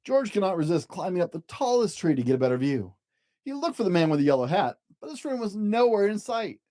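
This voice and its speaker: Animated, mellow children's storyteller. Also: medium pitch, fast talker. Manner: animated, gentle, addressing young children. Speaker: storyteller reading a children's book aloud. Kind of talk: expressive children's picture-book narration.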